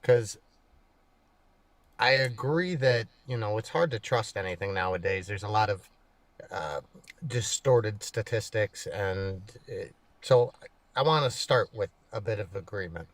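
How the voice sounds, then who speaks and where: Slow, conciliatory man in his thirties, dry sound. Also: on the low side, no echo. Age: 30s. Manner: hesitant, measured, conciliatory. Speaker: stream guest. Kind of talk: casual debate.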